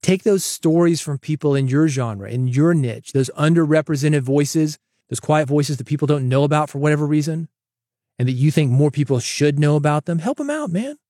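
Man, podcast host, fairly high voice, conversational coaching tone, dry close mic. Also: lively intonation, normal speed, mellow, no room sound. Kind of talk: solo podcast monologue.

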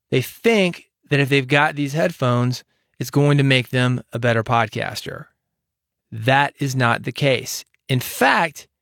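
A man's voice: medium pitch, vocal fry, a bit bright, no room sound. A man hosting his own podcast alone, giving direct, confident advice into a studio microphone. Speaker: podcast host. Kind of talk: solo podcast talk.

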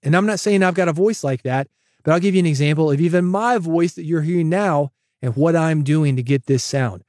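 Man, slightly high voice, energetic coaching podcast host, dry close broadcast mic. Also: fairly quick, dry recording. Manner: energetic, coaching, direct address. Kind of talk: solo podcast advice with sponsor read. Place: home studio, processed dry voice. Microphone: studio broadcast dynamic mic.

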